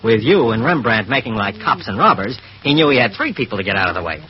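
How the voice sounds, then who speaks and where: Wisecracking cop, muffled radio sound. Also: male voice, lowish voice, very animated, fast. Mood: matter-of-fact. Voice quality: slangy tough-guy talk. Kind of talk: 1940s radio drama acting. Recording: muffled vintage radio recording.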